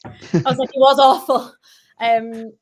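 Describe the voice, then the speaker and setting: A woman's voice: high voice, a little slow. Chatty Cumbrian woman, young. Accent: Northern English, Cumbrian. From Barrow-in-Furness, Cumbria, England. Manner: chatty and animated, many restarts and quoted asides. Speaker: guest, sketch comedian and actress. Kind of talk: casual podcast chat.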